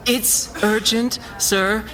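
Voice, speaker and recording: A man's voice: high voice, fairly even intonation, very slow, a crowd in the background. An eager junior doctor who stays polite while pushing his point. His voice carries a long reverb tail, as if recorded in a large hall. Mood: insistent. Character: eager young doctor, curious and insistent.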